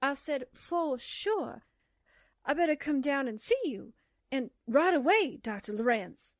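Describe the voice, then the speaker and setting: A woman's voice: high-pitched, lively intonation, measured pace, dry recording. An actress playing a brash, cheeky, scatterbrained newspaperwoman with perky delivery in a 1940s-style radio drama. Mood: eager, feigning. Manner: perky, wisecracking.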